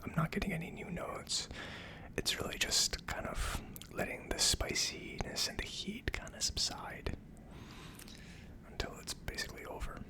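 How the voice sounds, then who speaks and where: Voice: mid-range voice, wildly swooping pitch, moderate pace. An ASMR host of unclear sex speaks entirely in a whisper. The delivery is casual and unscripted, like someone thinking aloud. It comes across as tentative and reflective. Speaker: host of a whiskey tasting series.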